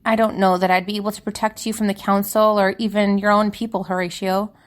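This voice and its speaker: A woman as an earnest young spellcaster, medium pitch. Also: level tone, a little fast, lightly acted. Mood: worried, fond. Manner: earnest, apologetic. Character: earnest young female spellcaster writing to a dear friend. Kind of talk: dramatic letter reading in fiction podcast.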